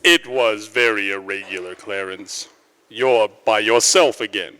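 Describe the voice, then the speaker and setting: A slow, lowish, forceful senior angel, stern and weighty. He scolds with measured authority in old-time radio drama style. His words ring in a big hall. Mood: reproving. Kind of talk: 1940s radio drama acting. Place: large church, performed live. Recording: live stage recording in a reverberant hall.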